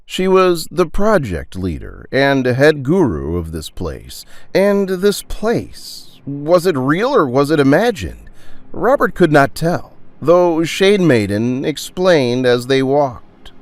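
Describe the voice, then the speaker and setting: A man's voice: fairly high pitch, big pitch swings, slow, no room sound. A man narrating a dramatic scripted adventure story as its storyteller. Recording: music under the voice.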